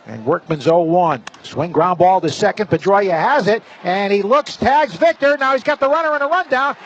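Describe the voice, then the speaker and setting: A man's voice: very high-pitched, a bit bright. A man working as a play-by-play announcer, giving live baseball commentary in the style of a radio broadcast. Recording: slightly dull broadcast, faint stadium noise.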